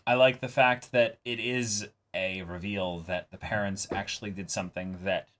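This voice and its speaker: A man's voice: fairly low pitch, fairly even intonation, normal speed, fairly bright tone, slight background noise. A man co-hosting an anime reaction show, talking casually and emphatically and slipping into colloquial imagined dialogue. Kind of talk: casual discussion of an anime episode.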